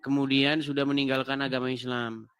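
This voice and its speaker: A man's voice: medium pitch, level tone, brisk, a bit bright, clear, resonant tone. A man hosting a live call-in debate, laughing and mocking as he talks over his callers. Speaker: host of a live interfaith debate stream.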